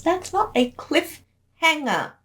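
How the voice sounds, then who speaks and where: A woman's voice: sits high, very animated, a bit bright. A woman giving casual director's commentary, bantering in a contrary, teasing way. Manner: contrary, teasing, quick to argue.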